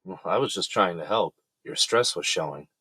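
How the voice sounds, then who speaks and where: Easygoing, low-voiced teasing man. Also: animated, moderate pace, bright, forceful tone, breathy edge, no room sound, heavily acted. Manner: casual, joking. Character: teasing, easygoing man, accused but joking with family. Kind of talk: audio drama acting.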